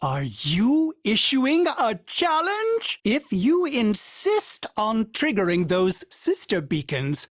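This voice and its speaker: A man's voice: high-pitched, wildly swooping pitch, slow, few pauses, a bit bright, dry recording, studio quality, heavily acted. A man voicing a fussy, polite male ship AI in a video game, prim and formal and referring to itself in the third person. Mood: cautioning.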